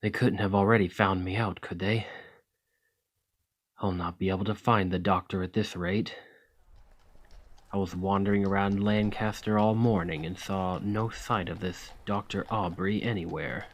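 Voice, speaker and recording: A man playing a centuries-old vampire gentleman in a scripted ASMR roleplay, weary and courteous, with formal, old-fashioned manners and a measured delivery. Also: low voice, fairly even intonation. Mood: anxious, determined.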